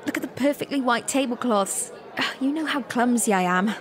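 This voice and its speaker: A woman's voice: on the high side, fairly quick, natural voice. An actress playing a playful, teasing young woman in a radio drama. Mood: nervous, playful. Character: teasing, warm-hearted, self-confessed clumsy young woman. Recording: music and crowd chatter behind.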